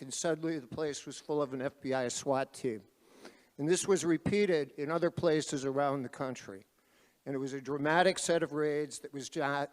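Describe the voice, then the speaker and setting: A man moderating a panel at an activist conference, speaking loudly and calling out to the crowd like a rally speaker. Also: clean tone. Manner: loud, booming, calls out to the crowd. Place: large conference hall. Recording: reverberant hall.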